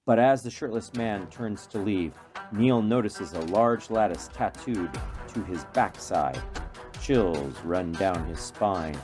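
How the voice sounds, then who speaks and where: A man narrating a radio play from a script in a measured, deliberate, dramatic way. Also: fairly low pitch, darkish tone. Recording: faint music behind.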